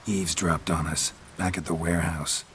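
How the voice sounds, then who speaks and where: Stoic monster hunter, very low voice, deadpan, terse, blunt, fantasy game acting. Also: male voice, moderate pace, a little hiss or hum. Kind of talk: dramatic video game voice acting.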